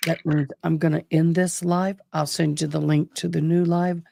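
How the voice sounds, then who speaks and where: A woman's voice: low-pitched, level tone, moderate pace, gravelly, dark tone, dry recording, clean studio sound. A tired woman hosting a casual livestream, her voice worn out and struggling, who talks affectionately to her viewers. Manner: affectionate, calls viewers babe and love. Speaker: livestream host covering a trial.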